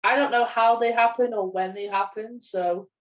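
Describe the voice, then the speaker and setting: A woman's voice: a little high, steady tone, normal speed. Young woman, echoey and distant. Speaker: podcast co-host, media student. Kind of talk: casual film podcast chat. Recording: roomy echo, distant from mic.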